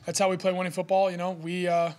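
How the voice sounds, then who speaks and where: Young man, fast, flat delivery, postgame podium, filler-heavy. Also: high-pitched. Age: young adult. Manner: steady, fast, filler-heavy with "you know". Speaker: college quarterback. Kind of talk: postgame press conference answers. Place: press room in front of a sponsor backdrop. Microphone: podium mic.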